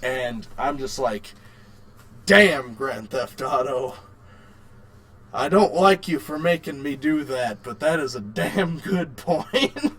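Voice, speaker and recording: A man's voice: medium pitch, expressive, takes it slowly, fairly bright tone. A man co-hosting a casual podcast, talking informally with repeated words and some swearing. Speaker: podcast co-host. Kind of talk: casual chat about video games and films.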